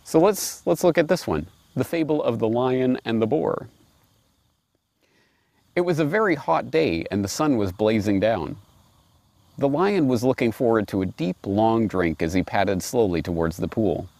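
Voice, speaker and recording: A middle-aged man presenting and reading aloud in a musing, wry, expressive way, recorded outdoors on a lapel mic. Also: medium pitch, normal speed, creaky, no room sound. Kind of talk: reflective commentary and storybook reading. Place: outdoors in a grassy park.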